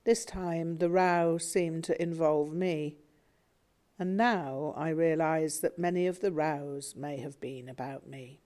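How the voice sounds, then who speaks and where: A woman narrating a memoir audiobook in a measured, reflective way. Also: sits low, steady tone, dark tone.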